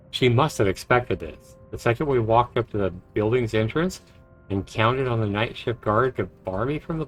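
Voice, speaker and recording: Visual novel lead: male actor as a low, wry, introspective man, guilt-ridden, with music underneath. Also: natural voice. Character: brooding, guilt-ridden man with a dry charm. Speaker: narrating protagonist. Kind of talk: visual novel voice acting, introspective narration and dialogue.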